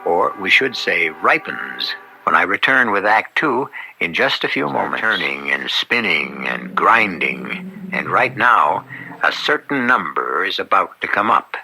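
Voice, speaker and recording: A man's voice: low-pitched, big pitch swings, unhurried, vocal fry, sharp tone, slight background noise, poor audio. A man hosting an old radio drama, delivering wry, punning scripted narration in a theatrical manner. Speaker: host. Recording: old-time radio broadcast. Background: music.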